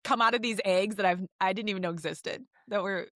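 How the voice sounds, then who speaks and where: A woman's voice: medium pitch, fast, crowd noise. Emphatic woman in casual chat. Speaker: podcast co-host.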